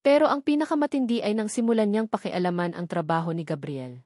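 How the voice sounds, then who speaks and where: A woman giving a steady, scripted first-person narration for an audio drama. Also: medium pitch, quick pace, no echo, studio quality.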